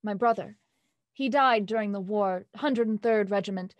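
An actress playing a genteel young heiress in grief, speaking in a hesitant, polite way in an audio drama. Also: female voice, medium pitch, dry recording, natural voice. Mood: wistful, composed. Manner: halting, soft-spoken, polite.

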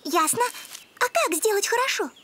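A woman's voice: very high-pitched, animated, moderate pace, slight background noise. A woman voicing a sweet, curious little creature boy, naive and earnest, in a children's cartoon. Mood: naive, puzzled. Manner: naive, gentle, earnest questioning.

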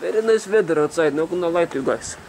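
A man's voice: on the high side, fast, darkish tone, natural voice. A young man playing a cheeky, foul-mouthed Slavic persona with a put-on Russian accent and broken English, rambling casually as he vlogs. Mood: rambling, amused. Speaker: vlogger. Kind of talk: casual comedic vlog. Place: small home kitchen indoors. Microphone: handheld camera at arm's length. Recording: noisy handheld camera with clicks.

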